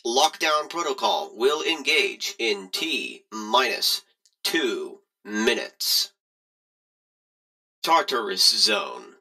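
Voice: mid-range voice, very animated, drawn-out words, creaky, bright, forceful tone, faint music behind, full character voice, poor audio. An automated emergency announcement voice for a reactor facility in a game, reading scripted warnings in formal, clipped, robotic phrasing. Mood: impersonal, urgent.

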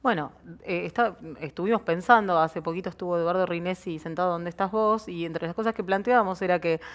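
A woman's voice: lowish voice, a little fast. A woman in her thirties with an Argentine accent, putting questions conversationally as an interviewer. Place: indoor studio set. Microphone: headset.

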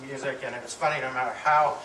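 Middle-aged man, affectionate remembrance speech, halting delivery, distant mic, clear background noise. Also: mid-range voice, steady tone, pushed and bright, reverberant. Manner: halting, with pauses and repeated words. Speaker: family speaker giving a eulogy. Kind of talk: eulogy, affectionate personal remembrance to an audience. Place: medium-sized indoor hall with audience.

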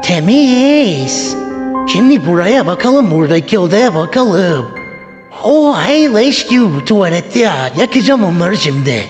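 Woman acting menacing old granny, children's skit, animated high voice, repetitive slow taunts, music behind. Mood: nosy, grumbling. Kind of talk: improvised children's family skit. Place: indoors in a home hallway and rooms. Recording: echoey hallway, music behind.

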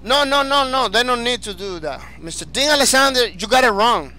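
A man's voice: very high voice, expressive, a little fast, bright, forceful tone. A man from the Dominican Republic with a Spanish accent, a game streamer chatting energetically with viewers and restarting his phrases often. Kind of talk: live-stream chat, reading viewer comments.

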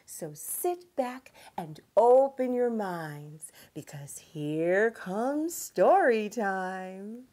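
A middle-aged woman hosting a children's storytime, speaking gently with deliberate, drawn-out phrasing. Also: mid-range voice, animated. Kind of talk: gentle presentation to young children.

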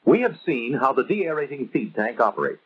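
American male narrator, 1950s instructional style, measured and didactic, husky edge, muffled vintage film sound. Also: medium pitch, very animated.

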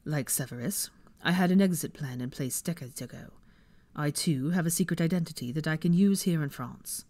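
Woman acting composed aristocratic wizard father, low and measured. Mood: explaining. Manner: deceptively calm, measured.

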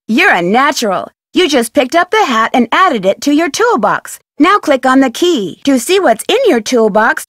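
A young American female sleuth in a video game. Her voice is high and very animated, with chipper, neatly enunciated delivery. She sounds friendly and inquisitive, recorded close and dry. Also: no echo. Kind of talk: scripted video game voice lines and tutorial narration.

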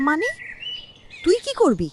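Worried middle-aged cartoon mother, played by a woman, high-pitched and emotional. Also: huge pitch swings, unhurried, background noise, heavily acted. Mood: concerned. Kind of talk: cartoon drama dialogue.

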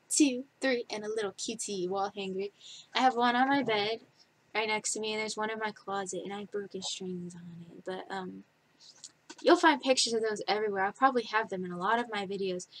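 A woman's voice: medium pitch, low-quality recording. A woman in her twenties chatting casually in her bedroom for a vlog, giggly and rambling, with lots of fillers, picked up by a webcam. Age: 20s. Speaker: vlogger. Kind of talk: casual facts-about-me vlog. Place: bedroom, indoors. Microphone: webcam at desk distance.

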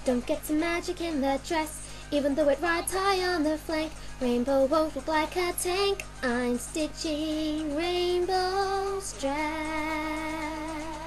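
A woman's voice: sits high, slow pace. A woman singing an amateur fan cover of a musical cartoon song, with music behind her. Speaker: fan singer and dubber.

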